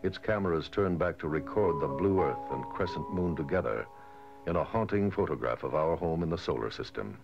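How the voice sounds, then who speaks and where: A man reading scripted documentary narration in a measured, formal way, on a dated soundtrack. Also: extremely low pitch, expressive, gravelly. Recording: dull, dated lo-fi film soundtrack. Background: music.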